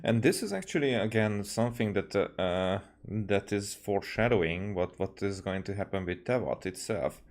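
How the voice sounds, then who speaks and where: A man's voice: fairly low pitch, lively intonation, moderate pace, hardly any pauses. A man giving casual game commentary as a streamer, hesitant and searching for his words as he explains.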